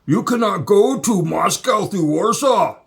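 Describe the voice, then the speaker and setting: A man imitating a stiff male airline check-in agent with a fake Polish accent, slowly reciting rules. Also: high-pitched, gravelly, voice pushed up, heavily acted. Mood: officious. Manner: flat rule-reciting, slow and formal.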